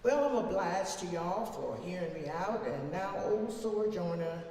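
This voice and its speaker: A woman giving a dramatic, emphatic recitation of an old speech as a defiant, plain-spoken older orator, with long deliberate pauses, recorded from far off in a reverberant hall. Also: fairly low pitch, expressive, darkish tone. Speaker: reader performing a historical speech. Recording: very echoey hall from far off, faint noise.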